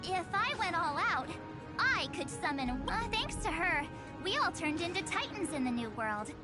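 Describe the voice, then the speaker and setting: High, animated, theatrical young heroine. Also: female voice, moderate pace. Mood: cheerful. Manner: theatrical, expressive. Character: playful young woman posing as a mysterious goddess. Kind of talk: anime-style game voice acting. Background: music.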